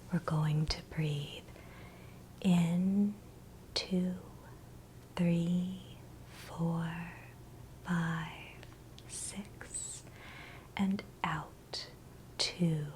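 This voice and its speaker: A woman leads an ASMR guided meditation in a soft, breathy whisper, speaking with gentle, soothing reassurance. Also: on the low side, slight background noise, rough recording.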